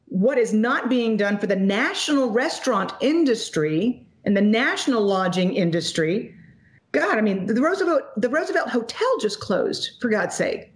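A woman in her forties, an economist guest, talking emphatically and quickly over a video call from a home office. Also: a little high. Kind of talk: animated interview commentary. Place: home office in front of a logo backdrop. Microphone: video call webcam.

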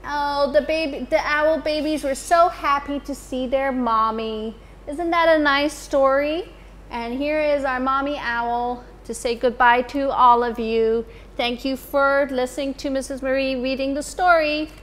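A woman in her thirties, a teacher, reading a picture book to children in an expressive storytime manner in a school library. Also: high voice. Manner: slow, expressive, addressing children.

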